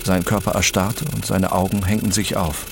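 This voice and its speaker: An actor playing a detached, obsessed first-person narrator, speaking in a measured, deliberate way in an audio drama. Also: male voice, low voice, little pitch movement, creaky, background noise.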